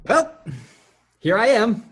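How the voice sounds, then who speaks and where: A man's voice: very high-pitched, lively intonation, slow, noisy background, full character voice. A man playing a frantic, exasperated nephew in a radio play, flustered and stammering with urgency.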